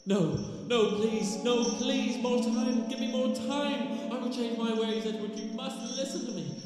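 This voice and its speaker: A man's voice: very high voice, steady tone, a little slow, darkish tone. An actor playing the tormented ghost of a crooked businessman in a musical audio drama, mournful and theatrical. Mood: anguished warning. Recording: echoey hall reverb, music behind.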